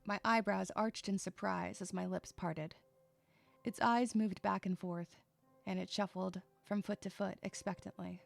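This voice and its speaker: A woman playing an irritable, sarcastic, troubled young female loner, narrating a first-person audio drama with expressive, sighing delivery. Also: mid-range voice, unhurried, vocal fry, faint music behind. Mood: brooding.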